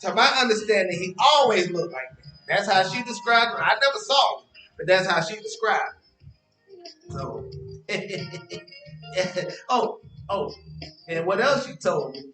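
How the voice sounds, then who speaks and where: An actor in a stage play as a teasing, boastful ladies' man who spins his tale with drawn-out drama and stammering hesitations. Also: high-pitched, lively intonation, sharp tone, exaggerated voice. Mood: reluctant, amused. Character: teasing, evasive storyteller named Henry, boastful ladies' man. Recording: roomy, distant stage recording with music.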